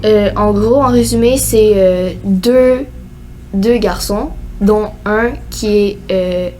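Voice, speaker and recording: A woman's voice: mid-range voice, slow, mellow, slight background noise. A teenage girl with a Québécois French accent, co-hosting a casual podcast chat, rambling with lots of fillers. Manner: rambling, many fillers like "genre" and "euh". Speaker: co-host.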